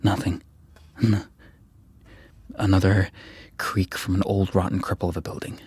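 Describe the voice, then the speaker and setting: An actor playing a jumpy, self-mocking young graduate student in a radio drama, husky and nervously muttering. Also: male voice, low voice, little pitch movement, measured pace, a little mellow, exaggerated voice. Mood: anxious reasoning. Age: young adult. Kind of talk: radio drama acting, interior monologue.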